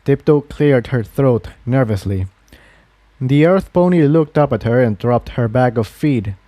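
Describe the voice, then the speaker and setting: A man's voice: mid-range voice, expressive, measured pace, dark tone, no room sound. A man reading a fantasy adventure story aloud as its narrator. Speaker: fan fiction narrator.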